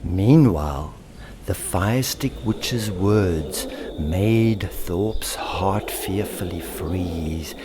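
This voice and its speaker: A man narrating a children's story in an expressive, theatrical way, lingering on alliterative words. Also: deep voice, very slow, background noise. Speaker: author and storyteller.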